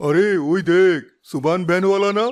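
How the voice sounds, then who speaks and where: A man's voice: high voice, fairly quick, clean tone, close to an everyday voice. A man playing a rich villager's sneering crony in a cartoon, talking mockingly. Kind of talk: cartoon dialogue.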